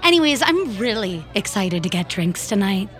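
A woman's voice: mid-range voice, sing-song, a bit bright. A woman playing a sly office rival in an audio drama, falsely sweet and insinuating. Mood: menacing. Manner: slow, insinuating.

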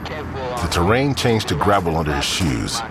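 Deep-voiced man narrating a crime story. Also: sing-song, vocal fry. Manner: slow, atmospheric storytelling. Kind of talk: scripted third-person narration. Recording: outdoor traffic noise behind.

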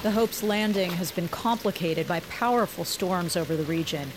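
An actress playing a television news reporter giving live commentary on a dramatic landing. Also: female voice, fairly low pitch, normal speed, very noisy, lightly acted. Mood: reporting.

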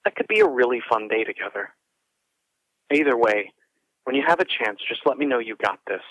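An anxious, tender partner in an audio drama, talking into voicemail with a medium-pitched voice. He hesitates and rambles, stumbling over his words. The telephone sound is dry, with no room around it. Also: expressive, a little fast, fairly bright tone, dry recording, poor audio. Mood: tentative, pleading.

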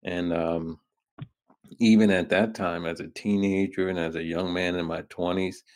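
A man in his fifties from New York, hosting an interview in a measured, thoughtful way. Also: low voice, steady tone, darkish tone. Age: 50s. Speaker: host. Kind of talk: radio interview.

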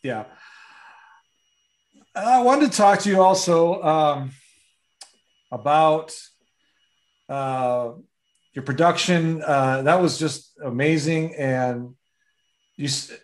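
A man's voice: on the high side, takes it slowly, long pauses. A man working as an interviewer in a casual chat, conversational and agreeable in the way he talks.

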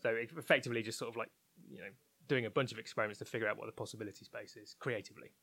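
A man's voice: on the low side, steady tone, very fast, sharp tone, dry recording. A man giving a conversational interview, thoughtful and hedging, often restarting his phrases. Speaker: interviewed research and development head.

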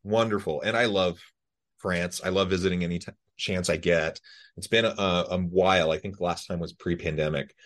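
A man hosting a podcast, interviewing a guest in a relaxed, friendly way. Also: deep voice, normal speed. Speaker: podcast host.

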